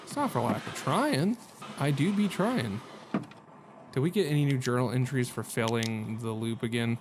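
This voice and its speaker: A mid-pitched man commentates on a game in a casual, slangy way. He jokes at his own expense. Clear game noise runs behind his voice. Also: lively intonation, moderate pace. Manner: joking, self-deprecating, slangy. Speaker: gaming video host. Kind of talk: casual let's-play commentary.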